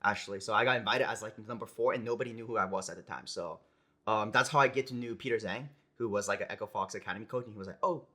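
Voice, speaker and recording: A young American man, a pro gamer, chatting casually in an interview, relaxed and rambling with lots of "like" and "you know". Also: fairly low pitch, rapid-fire. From United States. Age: young adult. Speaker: esports player guest.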